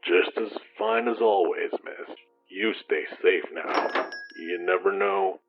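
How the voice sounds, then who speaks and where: A man's voice: low-pitched, slow, highs cut off, soft background music. An actor playing an apologetic, folksy old man who rambles in an audio drama. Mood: apologetic, wistful. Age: older. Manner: folksy, meandering, self-deprecating.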